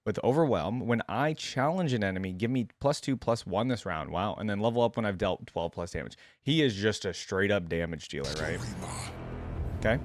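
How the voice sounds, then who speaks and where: Low-voiced man reacting over music. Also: lively intonation, normal speed. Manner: informal slangy talk, exclaiming and musing aloud. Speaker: reaction video host. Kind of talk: casual reaction commentary.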